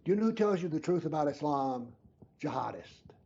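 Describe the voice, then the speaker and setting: A man being interviewed who explains his views earnestly and emphatically, sometimes dropping to a low whisper to stress a point. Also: animated, fairly quick, warm tone, breathy edge, lo-fi sound. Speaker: interview guest, author.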